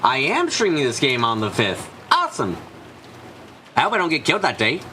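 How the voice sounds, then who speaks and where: A man streaming a visual novel, reading aloud and chatting in an animated, rambling way. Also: mid-range voice, a little fast, a little hiss or hum. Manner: animated, rambling, swears freely. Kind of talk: live game stream, casual chat and dramatic reading. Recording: music behind the voice. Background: game music.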